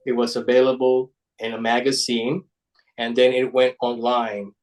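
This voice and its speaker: A man with an Ecuadorian Spanish accent giving an informal slide presentation, speaking haltingly and often restarting his words. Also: mid-range voice, flat delivery, rough recording. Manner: halting, with repeated word restarts. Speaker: guest presenter, paper model designer.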